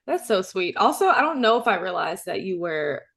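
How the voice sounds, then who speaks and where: A woman's voice: medium pitch, sing-song, moderate pace. A young adult woman hosting a podcast, from Chicago, chatting with enthusiasm and saying y'all and okay a lot. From Chicago, USA. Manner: enthusiastic, warm-hearted, says y'all and okay often. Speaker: podcast host. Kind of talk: casual interview chat.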